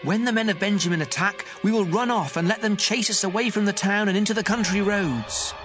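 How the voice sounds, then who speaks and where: A man's voice: sits high, brisk, over music, close to an everyday voice. A man acting a determined Israelite soldier declaiming to his comrades in a dramatized audio Bible. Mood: resolute.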